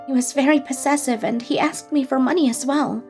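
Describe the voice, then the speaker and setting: A woman playing a gentle, troubled young woman in an English manga dub, speaking softly, politely and sincerely. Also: sits high, steady tone, fairly quick, faint music behind.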